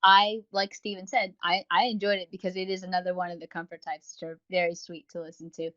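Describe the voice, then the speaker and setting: A woman's voice: fairly low pitch, flat delivery, fast, a bit bright, no echo. A woman co-hosting a casual review podcast in a wordy, tongue-in-cheek way. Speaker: podcast co-host. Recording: dull, dry lo-fi voice recording.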